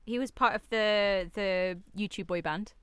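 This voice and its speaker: A woman's voice: medium pitch, a little slow, clean tone, no room sound. A young woman co-hosts a radio show with a mid-range voice. She is recorded close and dry. Her talk is casual and informal, made up of short reactions and asides. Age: young adult. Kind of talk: casual chat.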